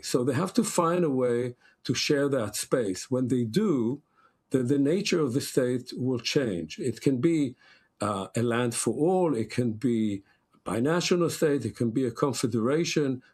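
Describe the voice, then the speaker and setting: An older man from Israel, a scholar answering interview questions in a deliberate, analytical way, pausing often on fillers and repeating words. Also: mid-range voice, darkish tone.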